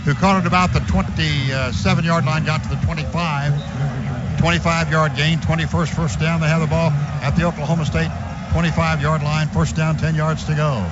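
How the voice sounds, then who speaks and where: Older male radio play-by-play announcer, brisk with big shouts, lo-fi noisy broadcast. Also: fairly high pitch, little pitch movement. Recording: dull lo-fi radio broadcast with loud background noise.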